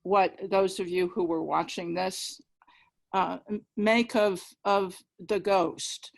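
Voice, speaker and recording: A woman's voice: lowish voice, steady tone, slow, vocal fry. A woman speaking emphatically in an informal literary discussion on a video call.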